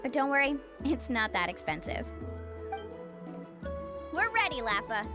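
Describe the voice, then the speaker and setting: An actress playing an upbeat, headstrong young tour guide in a video game, breezy and assured. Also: female voice, high voice, sing-song, measured pace, music in the background.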